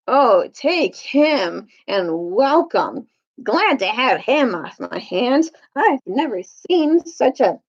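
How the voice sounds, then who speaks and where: A woman's voice: on the high side, very animated, takes it slowly, husky, dull audio, exaggerated voice. A woman voicing a brash male slave auctioneer from a children's storybook, with pushy, hawking patter. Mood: grudging. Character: brash male slave auctioneer, oily and whining when cornered. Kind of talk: children's storybook read-aloud.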